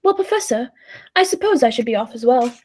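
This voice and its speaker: A woman performs a courteous, skeptical guest in an old-time radio play. The voice is high but mellow, with a hesitant, deferential delivery that breaks easily into exclamation. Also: lively intonation, brisk, slightly rough. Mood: polite, hesitant. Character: polite, timid, skeptical dinner guest of the professor. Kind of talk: 1940s radio play acting.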